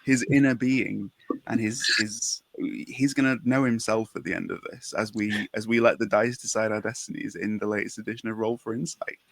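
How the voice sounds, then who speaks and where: Podcast host guy, croaky voice, dry close sound, chatty and keen. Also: lowish voice, steady tone, normal speed, dry recording, low-quality recording. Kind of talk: casual podcast chat.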